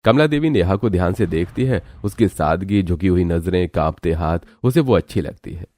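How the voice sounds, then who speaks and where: A man's voice: deep voice, animated, moderate pace, a little mellow, dry recording. A man narrating a scripted cartoon story as its storyteller.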